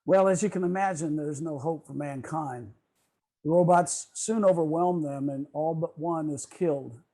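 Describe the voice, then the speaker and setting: A man presenting a prepared history lecture to a club over a video call, explaining in a formal, measured way. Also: on the high side, dark tone.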